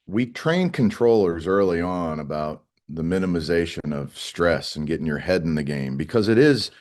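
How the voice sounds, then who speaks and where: A middle-aged man with a very low voice speaks as a union spokesman in an interview. He explains things in a plain, conversational way, stressing points firmly and repeating phrases for emphasis. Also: a little mellow. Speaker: air traffic controllers' union spokesman.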